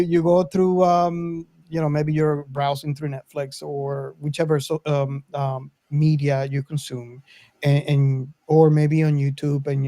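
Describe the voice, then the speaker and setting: A man with a mellow, slightly high voice in a very dry recording hosts a casual talk. He rambles, circling back and hesitating as he sets up the subject. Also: no room sound. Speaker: podcast host. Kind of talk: casual film discussion.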